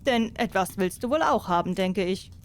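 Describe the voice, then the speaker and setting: A cocky storybook soldier, acted out by a woman narrator. The lines are blunt and self-assured, in a medium-pitched female register. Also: animated, normal speed, close to an everyday voice. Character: cocky, plain-spoken male soldier in a fairy tale.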